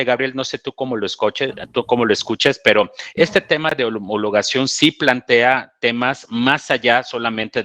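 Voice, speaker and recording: A man's voice: medium pitch, sharp tone, no room sound. A Mexican man moderating a webinar panel in a conversational, explanatory way and drawing in his co-panelists. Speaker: webinar moderator and tax expert.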